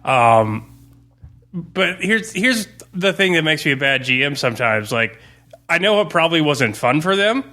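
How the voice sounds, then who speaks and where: A man's voice: a little high, sing-song, moderate pace, sharp tone, faint music behind. A man talking casually and reflectively as a game master and podcast co-host, often self-critical.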